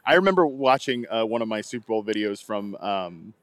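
A man's voice: on the low side, animated, a little fast, no echo, studio quality. Laughing, loose-talking podcast host man. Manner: loose, laughing, many fillers and restarts. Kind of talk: casual podcast chat.

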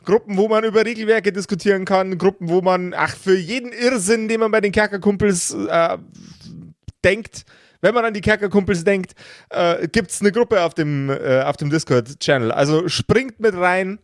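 Slightly high-voiced male game master. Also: normal speed, no echo, clean studio sound. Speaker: game master and podcast host. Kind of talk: improvised tabletop game narration and chat.

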